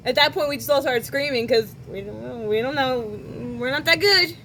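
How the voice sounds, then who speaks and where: A young woman with a hoarse voice from singing at a concert, hosting a podcast and talking casually with quick asides and self-corrections. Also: high voice, pushed and bright, slight background noise. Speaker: podcast host. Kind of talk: casual chat recounting a concert.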